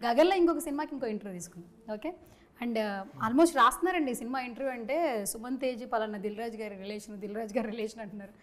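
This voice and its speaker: Female Telugu Indian host, high voice, rapid delivery, playful and pushy in a casual celebrity interview. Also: a bit bright. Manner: teasing, insistent. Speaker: interviewer hosting a film team chat.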